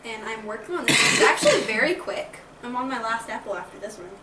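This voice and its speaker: A woman chatting casually while peeling apples, easygoing and often trailing off softly. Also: fairly high pitch, big pitch swings, quick pace, very noisy. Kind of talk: casual chat. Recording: roomy, with chatter of people around.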